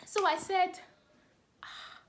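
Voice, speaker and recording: A woman with a Nigerian accent telling a story casually to camera, animated and dramatic, repeating words for emphasis. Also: slow. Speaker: vlogger telling a family story.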